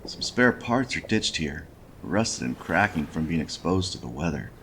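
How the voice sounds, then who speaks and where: A weary noir adventure-game hero, with a low voice full of gravel. His folksy, laconic monologue rises and falls freely, like a wry working man thinking aloud. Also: big pitch swings, moderate pace, fairly bright tone, slight background noise, heavily acted. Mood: wry, matter-of-fact. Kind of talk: scripted point-and-click adventure game narration, noir.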